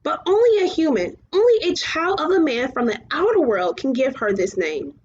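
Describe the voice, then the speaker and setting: A woman voicing a gentle, earnest male luck dragon in a storybook, coaxing his companion. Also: high-pitched, sing-song, moderate pace, voice pushed up. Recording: muffled, clearly noisy home recording.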